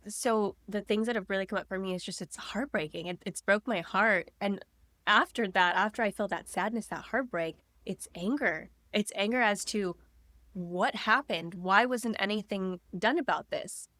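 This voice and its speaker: A young woman co-hosting a podcast, slightly raspy from a cold, talking earnestly and pushing back. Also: medium pitch, a bit bright, dry recording. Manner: earnest, quick to push back. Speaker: co-host. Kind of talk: casual chat.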